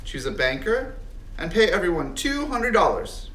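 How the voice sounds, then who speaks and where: A man's voice: high voice, sing-song, normal speed, fairly bright tone, pitched above the performer's own voice. A man voicing a kindly but firm primary school teacher in a storybook, speaking emphatically as if to a class. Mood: firm, announcing. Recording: roomy, distant mic, faint background noise.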